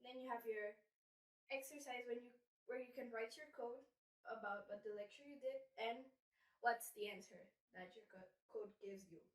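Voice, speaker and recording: A woman's voice: a little high, moderate pace. A teenage female student who speaks English as a third language, chatting informally in a casual vlog of tips, with plenty of filler words like "basically". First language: not English, which is a third language. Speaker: vlog host. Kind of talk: casual vlog giving study app tips. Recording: roomy echo, distant microphone.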